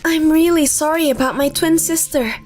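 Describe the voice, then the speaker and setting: A woman's voice: high voice, moderate pace, a little mellow, close to an everyday voice. A woman voicing young women in a romantic comedy manga dub, over background music. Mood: supportive, determined. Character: young women in a romcom: breezy cheating girlfriend, indignant loyal twin. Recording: music under the voice.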